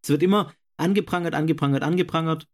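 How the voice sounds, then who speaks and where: A young man with a regional German accent co-hosting a casual podcast, agreeable, affirming often and pausing on fillers. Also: on the high side. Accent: regional German, not standard. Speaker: podcast co-host. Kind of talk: casual debate chat.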